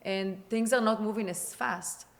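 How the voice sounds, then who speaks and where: A woman with an Israeli accent talks real estate in a relaxed podcast conversation. She is chatty and quick, often restarting her sentences and leaning on fillers. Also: mid-range voice, vocal fry. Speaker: real estate agent guest.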